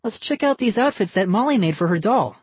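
A man voicing a brisk, commanding mother who organizes the children in a storybook reading. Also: sits high, animated, breathy edge, dry recording, pitched above the performer's own voice. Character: bossy caring mother hosting kids.

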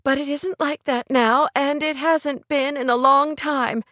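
A woman voicing an upset young woman who is sharp, tearful and demanding, in an audiobook romance. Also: high-pitched, fairly even intonation, unhurried, dry recording, voice pushed up. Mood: exasperated. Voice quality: trembling, tearful. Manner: sharp, demanding, near hysterical. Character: distraught, angry young woman, sarcastic and pushy.

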